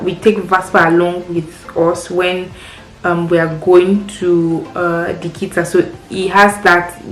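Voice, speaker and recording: Chatty woman, echoey room. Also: on the low side. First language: Yoruba. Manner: chatty, friendly, practical tips. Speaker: parent vlogger. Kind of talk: casual advice vlog. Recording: echoey room with faint music.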